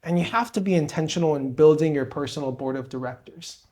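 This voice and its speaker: A man's voice: fairly high pitch, brisk, darkish tone. A young man from Peru, co-host of a podcast, telling his story and giving advice in an earnest, motivational way. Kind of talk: conversational interview.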